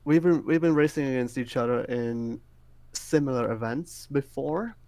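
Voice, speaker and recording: A man's voice: medium pitch, moderate pace, dark tone, no room sound. Czech-accented man answering casually. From Czech Republic. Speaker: race winner being interviewed.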